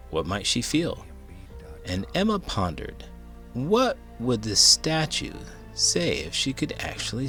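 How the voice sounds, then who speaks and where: A man's voice: on the low side, vocal fry, fairly bright tone. A man reading a children's book aloud as a host, with dramatic, emphatic delivery. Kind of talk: expressive storybook read-aloud.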